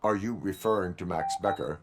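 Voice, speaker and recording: A man playing a stoic cyborg policeman in a video game, speaking in terse, measured, formal phrases with a flat synthetic tone. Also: deep voice, very noisy, close to an everyday voice. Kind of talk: video game cutscene acting.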